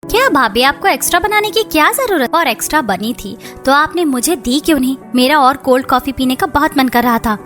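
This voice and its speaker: A self-centred college girl in a cartoon family drama, voiced by a woman. She is high-pitched, pouty and demanding, with music underneath. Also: expressive, a little fast, few pauses, a bit bright. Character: self-centred young college-going sister-in-law.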